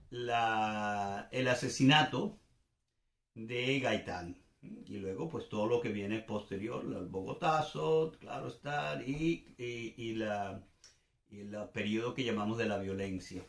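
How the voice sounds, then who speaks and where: An older man with a Venezuelan accent speaks at a middle pitch in a clearly echoey room. He delivers off-the-cuff commentary in an informal style. He often pauses to search for the next word and restates phrases to stress them. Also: a bit bright. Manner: hesitant, searching for words, repeats phrases for emphasis. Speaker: commentator and host.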